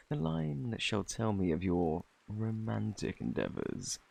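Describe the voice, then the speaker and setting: A deep-voiced British fortune teller at a fantasy inn, acted by a man, speaks with a gravelly edge. He is flirty and a little peculiar, with courtly, teasing turns of phrase in an ASMR roleplay style. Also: a little mellow, heavily acted.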